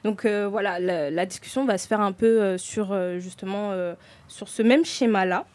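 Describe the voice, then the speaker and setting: Halting female host, very dry sound. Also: medium pitch, a little mellow, no echo. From France. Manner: hesitant, halting phrasing. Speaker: radio show host. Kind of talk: hosted discussion, semi-prepared.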